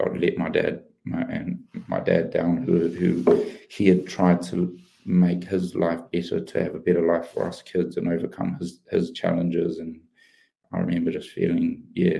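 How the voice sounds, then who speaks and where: A man's voice: sits low, monotone, normal speed, vocal fry, mellow, low-quality recording. A man, a former rugby player, telling his life story earnestly in an interview. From New Zealand. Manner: earnest, open, many restarts and fillers. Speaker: podcast guest, former pro rugby player.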